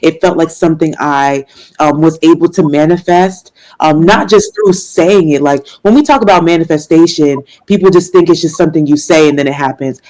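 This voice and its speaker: A woman's voice: low voice, moderate pace, a little mellow, poor audio. A young woman from Washington, D.C., a jazz singer answering interview questions in an earnest, faith-filled way, with fillers and laughs. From Washington, D.C., United States. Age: young adult.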